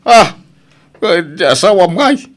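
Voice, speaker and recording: A man acts out a stern old father-in-law from a farming family in an audio drama. He nags and scolds with heavy emphasis, his pitch swooping up and down. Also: very animated, takes it slowly, full character voice. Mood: nagging, sarcastic.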